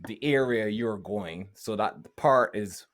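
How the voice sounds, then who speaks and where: Man explaining at an easy pace. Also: fairly low pitch. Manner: explains slowly, repeats words while thinking. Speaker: podcast co-host. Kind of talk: casual chat.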